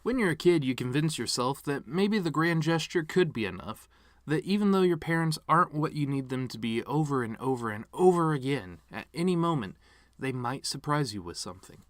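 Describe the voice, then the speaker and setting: A man playing a bitter, wounded middle-aged TV star in a sardonic, theatrical eulogy monologue, swinging between outbursts and hushed asides. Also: a little high, expressive, brisk, no echo, clean studio sound, pitched above the performer's own voice, close to an everyday voice. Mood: wistful. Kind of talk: dramatic monologue reading, fan performance.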